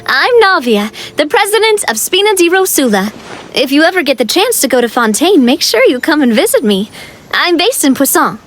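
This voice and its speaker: A woman's voice: high-pitched, very animated, normal speed, fairly bright tone, slight background noise. A woman playing a confident, upbeat young female leader in a video game, breezy and self-assured in her delivery. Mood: friendly. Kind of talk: animated game dialogue. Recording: music playing behind the voice. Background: game music.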